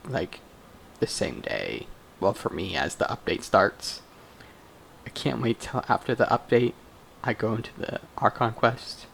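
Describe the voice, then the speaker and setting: Creaky-voiced man muttering game commentary. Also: on the low side, a little hiss or hum. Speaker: gamer commenting over a playthrough. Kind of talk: casual, off-the-cuff game commentary.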